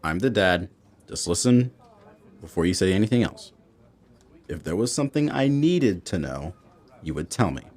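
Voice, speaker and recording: A protective, supportive middle-aged father in an audio drama. His voice is low and expressive, moving freely in pitch as he speaks naturally. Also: moderate pace, long pauses. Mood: gentle, firm.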